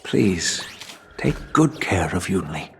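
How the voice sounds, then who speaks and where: A man voicing an earnest, solemn grandfather in a video game, speaking in a measured way. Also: low-pitched, very animated, creaky, fairly bright tone, a little hiss or hum, exaggerated voice. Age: older. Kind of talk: dramatic English game voice acting.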